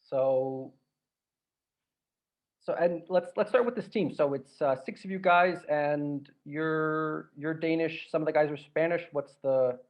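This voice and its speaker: A man hosts a podcast in a relaxed, conversational way, his voice carrying a gravelly texture. The audio sounds slightly dull and lo-fi. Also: fairly high pitch, a little slow. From Croatia. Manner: relaxed, chatty, with restarts and filler. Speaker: podcast host. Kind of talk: casual interview chat.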